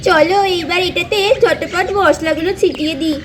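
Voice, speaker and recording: A woman puts on a village housewife doll for a children's cartoon. She is very high-pitched, chatty and bossy, with a teasing streak. The recording has clear outdoor noise behind her. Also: steady tone, normal speed. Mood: brisk, chatty.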